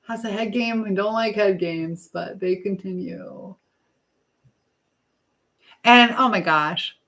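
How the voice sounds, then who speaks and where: A woman's voice: mid-range voice, slow pace, a bit bright. A woman hosting a casual live stream, talking in a chatty, affectionate way with viewers and reading their comments aloud. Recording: clear background noise with clicking sounds.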